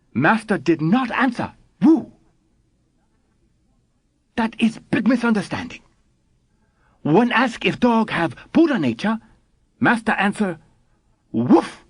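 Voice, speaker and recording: A man's voice: sits high, very animated, measured pace, pauses often, slightly rough, no room sound, pitched above the performer's own voice, exaggerated voice. A man voicing an older scholar who lectures in broken English. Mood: triumphant. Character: shy, smiling older male Japanese Zen scholar. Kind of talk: audiobook character voice.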